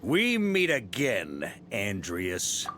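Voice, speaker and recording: A man's voice: lowish voice, wildly swooping pitch, extremely slow, bright, forceful tone, long reverb tail, slight background noise, heavily acted. A man voicing a seasoned knight grandmaster in a game, assured, commanding yet easygoing. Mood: grave. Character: confident seasoned knight grandmaster, warrior leader.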